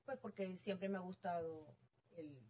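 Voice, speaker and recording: A woman's voice: lowish voice, a little slow, warm tone, low-quality recording. A middle-aged woman with a Venezuelan accent, telling her story casually as an interview guest. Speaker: interview guest, real estate agent.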